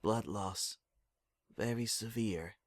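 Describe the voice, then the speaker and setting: Storybook role: somber medical pony, done by a man, quiet clinical murmur, close dry recording. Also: lowish voice, slow pace, no echo. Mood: grave. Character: grave, hushed hospital pony reporting grim injuries.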